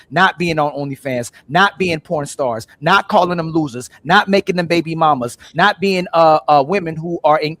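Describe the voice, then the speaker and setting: A man hosting a heated panel debate, excitable and interrupting. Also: fairly high pitch. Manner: excitable, interrupting, says "hold on" repeatedly. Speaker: panel host.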